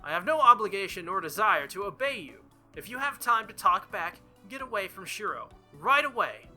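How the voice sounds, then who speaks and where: Man as young lady knight, visual novel, proper, commanding, indignant, high-set voice, bright forceful edge. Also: big pitch swings, moderate pace, faint music behind, full character voice. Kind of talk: visual novel character read-aloud.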